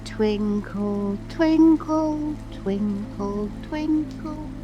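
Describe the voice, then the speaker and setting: A woman's voice: medium pitch, extremely slow, muffled recording, over music. A woman playing a drowsy, impatient little dormouse in a dramatic audiobook. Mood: drowsy singsong. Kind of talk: full-cast dramatic audiobook reading.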